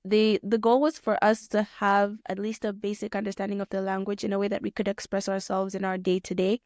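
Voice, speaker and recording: A young woman from Kenya talks through her answers with many small restarts and fillers. Her voice is smooth and clear, held in a fairly narrow pitch band. The sound is dry and close. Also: level tone, brisk, a little mellow, dry recording. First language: Swahili. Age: young adult. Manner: thoughtful, rephrases often, many fillers. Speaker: guest, pilot student. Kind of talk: casual interview answers.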